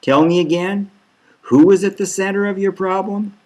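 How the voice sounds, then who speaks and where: A man's voice: high-pitched, fairly even intonation, normal speed, mellow, voice pushed up, natural voice. A man reading a satirical novel as a smug male therapist, falsely soothing, patronising and insistent. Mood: self-satisfied.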